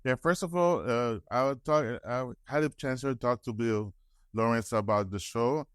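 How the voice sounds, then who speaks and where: Guy doing a casual fan interview, mid pitch, trips over his questions, rambles, no room echo. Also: normal speed, clean tone, studio quality. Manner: stumbling, rambling questions with false starts.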